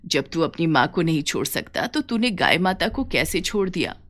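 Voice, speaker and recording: A woman's voice: fairly low pitch, sing-song, normal speed, fairly bright tone. A woman voicing an elderly village mother in a cartoon story, caring and weary, plaintive and tender in manner. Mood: gently scolding. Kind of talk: Hindi cartoon story dialogue.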